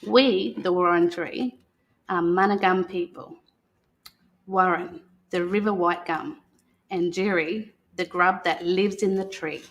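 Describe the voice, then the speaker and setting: An Australian woman speaking as a guest storyteller, talking and reading a picture book aloud in a gentle manner. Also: on the low side, fairly even intonation, unhurried, highs cut off. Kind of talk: unscripted talk and picture-book read-aloud.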